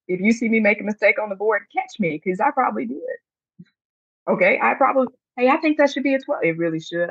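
A woman's voice: lowish voice, dry recording, muffled recording. A woman math teacher speaking earnestly and emphatically on a panel discussion, telling stories about her students.

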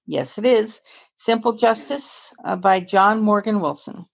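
A woman's voice: medium pitch, a little slow, gravelly. A woman co-hosting a podcast, chatting casually, rambling and correcting herself as she goes. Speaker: podcast co-host. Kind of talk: casual chat. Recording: dull, lo-fi audio.

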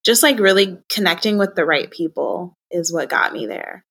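A woman's voice: on the low side, expressive, moderate pace, a bit bright, slightly rough. A young woman telling personal stories as an interview guest in a casual, candid and reflective way.

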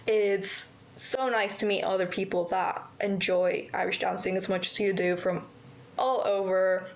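A woman's voice: medium pitch, flat delivery, measured pace. Upbeat woman with a British accent. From Mexico. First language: Spanish. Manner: friendly, enthusiastic, upbeat. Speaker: guest, dance camp counselor. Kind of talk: casual interview answers. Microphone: phone call.

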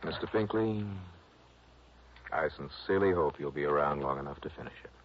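An actor playing a cool, wry insurance investigator with a dry, measured delivery in a radio detective drama. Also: male voice, rumbling low, slightly rough. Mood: wry, pointed. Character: cool, wry male insurance investigator, narrating his case. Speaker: lead detective and narrator. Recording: noisy, muffled old radio recording.

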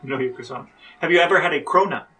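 A man with a bright, forceful, slightly high voice co-hosts a casual podcast. He explains and asks questions in a conversational way, a little away from the mic with faint music behind. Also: fast talker, recorded from a distance, low-quality recording. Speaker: podcast co-host, interviewer.

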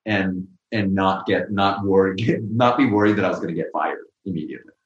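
A man's voice: sits low, a little fast, echoey room, muffled recording. A young man who grew up in Pakistan, talking loosely in an interview with lots of "like" and restarts. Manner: loose, rambling, full of "like" and restarts. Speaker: guest. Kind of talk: casual interview conversation.

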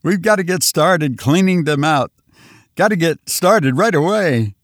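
A man's voice: mid-range voice, big pitch swings, a little slow, no echo, clean studio sound. A man voicing a frantic, exhausted man who has fled invaders, speaking breathlessly and urgently.

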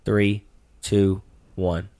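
Deep-voiced middle-aged dad, casual co-host, patient and dryly teasing. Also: male voice, flat delivery, very slow, warm tone, dry recording. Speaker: father co-hosting sports picks. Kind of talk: casual chat.

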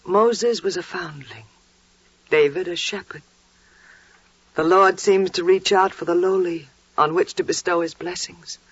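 An actress playing a devout, quietly joyful older biblical wife with reverent, deliberate delivery in a 1940s radio drama. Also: female voice, lowish voice, slightly rough, no room sound. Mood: solemn. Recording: muffled, dry vintage 1940s radio recording.

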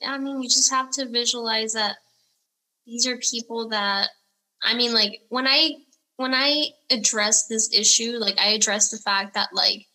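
A woman's voice: a little high, measured pace, sharp tone. A woman from Texas and Central America chatting casually and informally as an interview guest, peppering her talk with "like". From born in Laredo, Texas, raised between there and Central America. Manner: informal, heavy use of "like". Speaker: interview guest, homelessness activist. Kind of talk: casual chat.

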